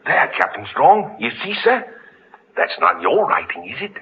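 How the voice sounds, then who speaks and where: An actor in an old-time radio drama playing a superstitious, deferential working-class sailor, earnest and insistent in humble, folksy seafaring speech. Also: male voice, mid-range voice, huge pitch swings, normal speed, a little hiss or hum. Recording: muffled lo-fi vintage radio recording.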